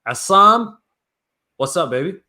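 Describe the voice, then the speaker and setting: Young guy cohosting a hoops podcast, hyped and playful, voice a bit high. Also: slow. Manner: loud, animated, playful hype. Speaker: podcast co-host. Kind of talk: hyped sports banter.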